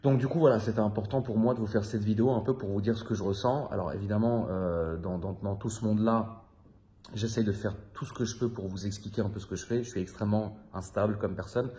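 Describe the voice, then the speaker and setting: A man's voice: on the low side, fairly even intonation, fast, mellow. A man in his thirties from France, talking to his viewers in a rambling, confiding way, slangy and swearing casually. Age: 30s. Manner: rambling, loose and confiding, many fillers and repeats. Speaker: vlogger. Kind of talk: casual monologue to camera.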